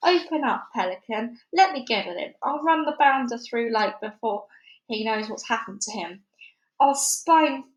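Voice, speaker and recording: Woman doing a loud pompous old duke, blustery, kinda high, roomy echo. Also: animated, moderate pace, natural voice. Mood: blustering. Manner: bellowing, pompous exclamations.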